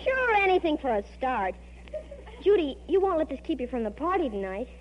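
Theatrical, high-voiced teenage girl. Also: big pitch swings, brisk. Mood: solemn sympathy. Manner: breathlessly sympathetic, theatrical. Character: melodramatic, admiring teenage girl best friend. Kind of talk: 1940s radio comedy acting. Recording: muffled old radio with faint noise.

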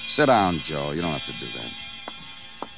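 An actor playing a patient, dogged, world-weary police detective who narrates a noir radio drama and questions people drily. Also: male voice, rumbling low, big pitch swings, unhurried, over music, exaggerated voice. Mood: patient, probing.

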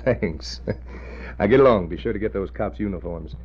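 Low, husky, smug gangster boss. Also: male voice, lively intonation, normal speed. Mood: smug, scheming. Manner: cocky, slangy tough-guy talk. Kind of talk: 1940s radio acting. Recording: dull old radio recording.

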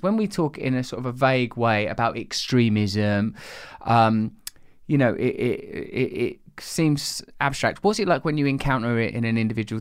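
Smooth-voiced thoughtful male interviewer. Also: medium pitch, dry recording, studio quality. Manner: thoughtful, hesitant, polite. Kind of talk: conversational interview questions.

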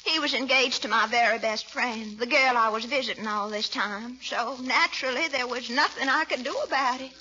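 High, gushing belle, old radio. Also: female voice, moderate pace, hardly any pauses, sharp tone. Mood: wistful, dramatic. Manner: gushing, sighing, melodramatic. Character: coquettish, theatrical, flattering woman, newly home, lovelorn. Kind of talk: classic American radio comedy acting. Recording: muffled old radio recording, faint hiss.

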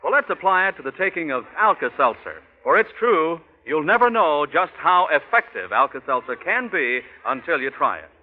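Male announcer for vintage radio ad, higher-pitched, very lively intonation, narrow old broadcast. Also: normal speed, few pauses. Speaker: radio announcer reading sponsor message. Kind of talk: 1940s radio advertising pitch.

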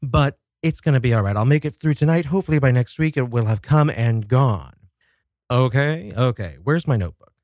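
A man's voice: medium pitch, lively intonation, a little fast, darkish tone, no echo, clean studio sound. A man hosting a casual solo podcast, telling stories dramatically with chatty asides and some swearing. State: coming down with a cold. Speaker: podcast host. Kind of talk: casual solo talk show about horror films.

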